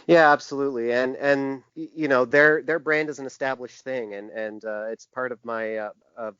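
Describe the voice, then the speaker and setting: A man answering interview questions as a designer, in a conversational but halting way, often restarting his phrases. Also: medium pitch, fairly even intonation, fairly bright tone, clear, resonant tone, dry recording. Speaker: podcast guest, book designer. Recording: dull, band-limited lo-fi sound.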